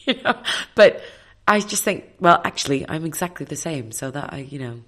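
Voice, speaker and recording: A woman in her thirties with a low, slightly husky voice. She speaks as an opera singer being interviewed. Her storytelling is reflective and animated, full of fillers and restarts. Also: moderate pace. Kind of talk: casual interview chat.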